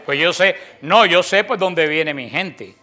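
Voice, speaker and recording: A man's voice: a little slow, soft background music. Fiery Cuban man preaching to the faithful. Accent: Cuban Spanish. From Cuba. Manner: forceful, preachy, emphatic with sudden drops to a low voice, joking asides. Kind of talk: impassioned religious talk.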